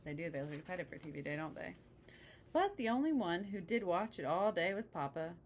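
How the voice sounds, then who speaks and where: A woman reading a children's picture book aloud, pausing for chatty asides and questions to the listener. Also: fairly low pitch, expressive. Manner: engaging, asks the listener questions. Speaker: storybook reader.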